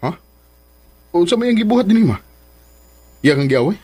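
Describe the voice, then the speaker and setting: A man's voice: high-pitched, big pitch swings, moderate pace, a little mellow, slightly rough, dry recording, full character voice. An actor with a Cebuano accent playing an earnest, lovesick young man and devoted son in a radio drama. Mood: concerned.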